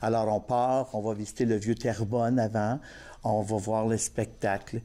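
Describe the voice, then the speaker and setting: A man from Northern Ontario, a guest on a TV talk show describing group trips in a halting way with many fillers. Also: lowish voice, level tone, mellow. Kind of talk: casual TV talk.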